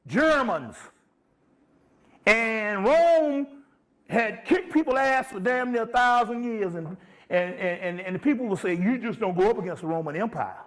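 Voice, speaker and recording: High voiced guy doing an informal spiritual lecture, emphatic n chatty, keeps checking ur following. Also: fairly bright tone. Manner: emphatic, profane, conversational, often checking listeners follow.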